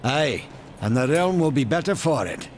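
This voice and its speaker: A man's voice: medium pitch, big pitch swings, normal speed, slight background noise, lightly acted. A man playing a chatty, wily and cowardly Maker trader in a fantasy game, with a folksy, sly way of talking.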